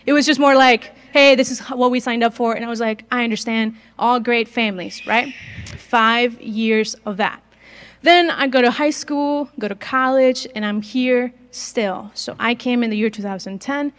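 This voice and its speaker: A woman's voice: fairly high pitch, moderate pace, fairly bright tone. A woman in her twenties from northern Mexico, Spanish her first language, with a slight Mexican accent, giving a chatty sermon into a handheld mic in a large gym and asking the audience questions. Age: 20s. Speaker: guest speaker. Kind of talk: conversational sermon.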